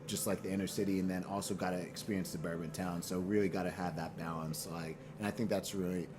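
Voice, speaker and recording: A man's voice: sits low, steady tone, fast. A man from Boston and Nigeria talking casually as an interview guest, earnest and slangy, often repeating phrases for emphasis. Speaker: fashion brand founder, interview guest. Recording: clear background noise, room ambience.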